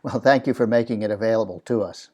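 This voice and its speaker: A man's voice: on the low side, quick pace, a little mellow. A man hosting an interview, putting questions to his guest and introducing what comes next. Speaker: host, interviewer.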